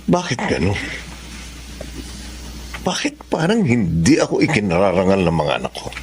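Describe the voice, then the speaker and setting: A man's voice: lowish voice, huge pitch swings, moderate pace, a little mellow, slight background noise. An actor playing a stubborn, money-minded middle-aged doctor father in a Filipino radio drama, speaking emphatically. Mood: hurt, brooding. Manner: emphatic, argumentative.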